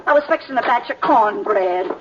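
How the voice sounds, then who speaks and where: An actress playing a fussy, chatty, easily frightened widow who runs a rooming house in an old-time radio western. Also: female voice, a little high, moderate pace, close to an everyday voice. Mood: agitated gossip. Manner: folksy, excitable, fussy. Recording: dull, noisy vintage radio recording.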